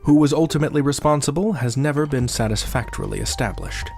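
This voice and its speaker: Guy introducing an audio drama, average pitch, stagey careful delivery, bit wry, soft music under him. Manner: theatrical, deliberate phrasing with wry asides. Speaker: podcast host, narrator.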